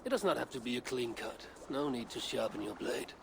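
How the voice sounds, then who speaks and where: A Norse Viking leader for a video game, mid-pitched and authoritative. He is blunt and controlled, with clear noise in the surroundings. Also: animated, brisk. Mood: cold menace. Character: stern Norse Viking clan leader, commanding, measured.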